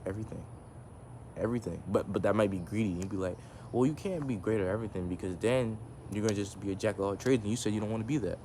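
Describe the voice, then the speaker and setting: A man's voice: fairly low pitch, a little hiss or hum. A man vlogging casually into his phone outdoors, rambling and reflective, full of restarts and fillers. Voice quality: can go monotone. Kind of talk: casual talk to camera. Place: outdoors in the sun. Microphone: phone camera.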